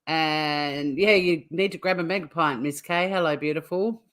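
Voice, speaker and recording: A woman's voice: low-pitched, normal speed, fairly bright tone. A woman hosting a casual livestream, chatting to viewers in an informal, teasing way. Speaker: livestream host.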